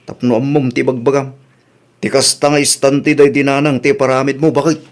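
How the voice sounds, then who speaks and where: A man's voice: mid-range voice, level tone, normal speed, close to an everyday voice. A man playing a practical, nagging husband who insists on quality, in an Ilocano comedy radio drama with an Ilocano Filipino accent.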